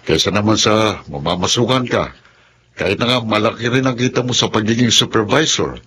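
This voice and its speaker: A man's voice: fairly low pitch, fairly quick, background noise, close to an everyday voice. A man acting a supportive middle-aged businessman father with a Filipino accent in a radio drama. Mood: encouraging.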